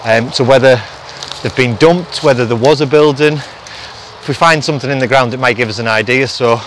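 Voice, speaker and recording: A man's voice: medium pitch, normal speed, a bit bright, a little hiss or hum. A middle-aged man, outdoors in a forest, speculating and explaining things as he goes in a casual vlog. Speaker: vlog host restoring a château. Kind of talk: casual walk-and-talk vlog narration.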